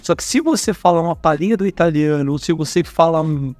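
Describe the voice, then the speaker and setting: A Brazilian man telling stories as a guest at a career fair, chatty and animated, who talks with his hands and keeps checking in with tag questions. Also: fairly high pitch, fast, dry recording, faint music behind. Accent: Brazilian Portuguese. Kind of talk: casual storytelling interview.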